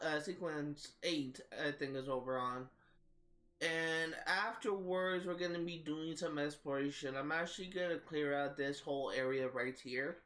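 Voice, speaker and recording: A man's voice: on the high side, fairly bright tone. A man with a head cold, congested and scratchy-throated, chatting casually through his game stream with many uhs. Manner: rambling, with frequent uhs and coughs. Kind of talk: casual gameplay commentary.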